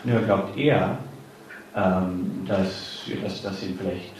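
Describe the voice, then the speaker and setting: Man, low voice, hesitant, many fillers, distant mic, very echoey hall. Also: takes it slowly, a little mellow, slight background noise. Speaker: author giving a reading. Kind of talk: informal introduction before a reading. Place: reading hall before an audience.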